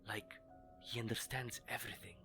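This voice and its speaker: A man's voice: mid-range voice, flat delivery, faint music behind, natural voice. A man voicing a frightened young mother who whispers nervously into a phone in a horror audio story. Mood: fearful hushed. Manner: hushed, tense, halting whisper.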